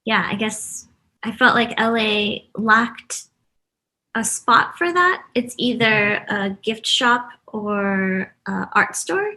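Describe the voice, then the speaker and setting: A woman's voice: a little high, animated, takes it slowly, pushed and bright, poor audio. A woman who grew up in Brazil, the US and Japan, talking in a chatty, informal way as an interview guest. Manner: chatty, informal, laughs easily. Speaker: interview guest, stationery shop co-owner. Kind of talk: casual conversational interview.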